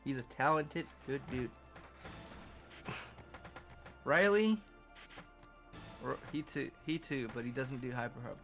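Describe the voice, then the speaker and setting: A man's voice: medium pitch, animated, slow pace, long pauses, fairly bright tone, soft background music. A man with a Californian English accent chatting casually while co-streaming a game, rambling and cursing freely. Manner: rambling, profane, loose. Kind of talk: casual gaming chat.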